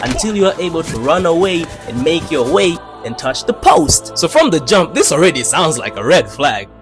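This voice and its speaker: A young man from Tanzania, telling funny childhood stories in a slangy, animated way. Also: on the high side, normal speed, faint music behind. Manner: animated, slangy, playful delivery with dramatic swings. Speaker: animator narrating his own channel. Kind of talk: comedic storytime narration.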